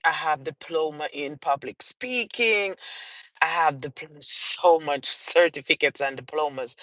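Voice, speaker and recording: Jamaican lady on a livestream, talks real slow, dry close sound. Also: low voice, fairly bright tone, no room sound. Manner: slow and unhurried, repeats and restarts phrases, greets live viewers. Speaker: author hosting a livestream. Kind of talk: casual livestream Q and A.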